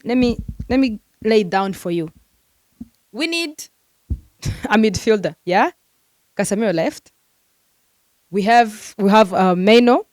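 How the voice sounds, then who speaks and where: A woman's voice: on the high side, darkish tone, no echo. A woman hosting a football transfer news vlog, talking casually and animatedly to her viewers with lots of fillers, venting like a frustrated fan.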